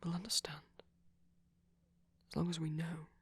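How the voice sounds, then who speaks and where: A very breathy, whispering vampire boyfriend, played by a man, gentle and caring. The voice is husky with a creaky edge, soothing and a little self-conscious, in an intimate ASMR roleplay. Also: on the high side, monotone, normal speed, dry recording. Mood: soft, reassuring.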